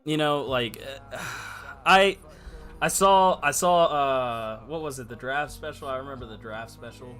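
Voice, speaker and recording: A man's voice: mid-range voice, measured pace, a bit bright. A man co-hosting a podcast in casual chat, appreciative and often repeating his phrases. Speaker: podcast co-host.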